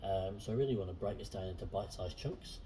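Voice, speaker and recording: A man hosting a casual interview chat, relaxed and friendly with frequent fillers. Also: low voice, fast talker, distant mic, slight background noise. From Southern Hemisphere. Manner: relaxed, friendly, many ums. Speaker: host.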